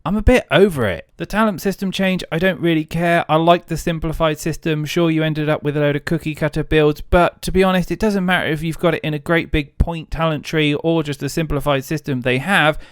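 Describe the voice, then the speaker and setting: A man's voice: a little high, fairly even intonation, fairly quick, hardly any pauses, clear, resonant tone, no room sound, studio quality. A British man giving casual gaming commentary in a chatty, blunt, informal way. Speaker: host of a gaming commentary video.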